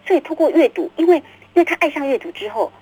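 A woman's voice: high-pitched, expressive, fast, dark tone. A middle-aged woman with a Taiwanese Mandarin accent, explaining things emphatically as the guest author in a radio interview, repeating words and acting out questions. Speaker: guest, children's book author.

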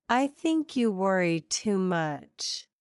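A woman reading English example sentences slowly and evenly for learners to repeat. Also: mid-range voice, very animated, clear, resonant tone, no room sound, clean studio sound. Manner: careful, evenly paced repetition.

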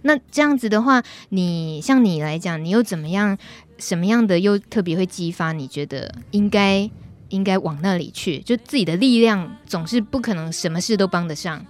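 A woman's voice: medium pitch, normal speed. A woman with a Taiwanese Mandarin accent hosting a radio show in a casual, conversational interviewing style. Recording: music playing behind the voice.

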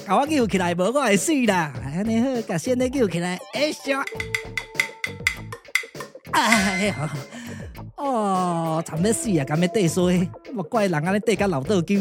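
Man doing a grumbling, exclamatory Taiwanese comic clown. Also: high voice. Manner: colloquial, exclamatory, repetitive complaining. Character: grumbling comic hard-luck clown, put-upon and brash. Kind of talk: comic Taiwanese puppet radio drama.

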